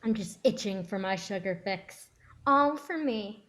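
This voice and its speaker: A woman's voice: medium pitch, lively intonation, measured pace, fairly bright tone. A woman playing a teasing, knowing mother in a dramatic script reading. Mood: playful teasing.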